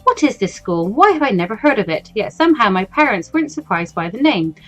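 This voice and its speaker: A woman's voice: medium pitch, very animated, fairly quick, a bit bright. A woman voicing an anxious, awkward fourteen-year-old schoolgirl from a visual novel, hesitant and self-doubting. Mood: gloomy, doubting. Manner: hesitant, stammering, over-thinking. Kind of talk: visual novel character reading. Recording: music behind the voice.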